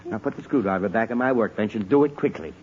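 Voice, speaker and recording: A man's voice: on the low side, quick pace, darkish tone, close to an everyday voice. An actor playing an exasperated, short-tempered middle-aged father, flustered and sputtering in vintage radio sitcom style. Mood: grumpy, impatient. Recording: dull, noisy old radio recording.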